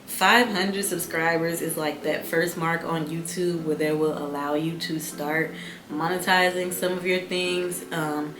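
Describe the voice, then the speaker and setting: A young adult woman talking in a calm, soft-spoken, reflective way in a casual vlog. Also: sits low, measured pace, noisy background. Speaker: YouTuber. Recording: echoey room with music bed.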